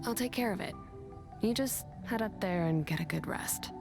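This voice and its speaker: An actress playing a caring, reassuring comrade in a video game, with a gentle, soothing way of talking. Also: female voice, medium pitch, expressive, normal speed, gravelly, over music. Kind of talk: video game character dialogue.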